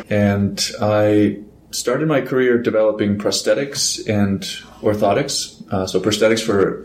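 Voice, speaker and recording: A man from Iceland, a guest engineer explaining his work in a measured, unhurried way. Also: lowish voice, reverberant, a little hiss or hum, low-quality recording. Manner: measured, explanatory, with small laughs. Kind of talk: casual interview.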